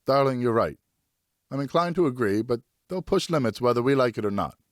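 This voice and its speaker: A man's voice: medium pitch, expressive, a little fast, no echo, clean studio sound, voice pushed up, close to an everyday voice. A man playing a weary, conciliatory French nobleman, husband and father in an audiobook, reasoning earnestly and placatingly. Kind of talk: audiobook character acting.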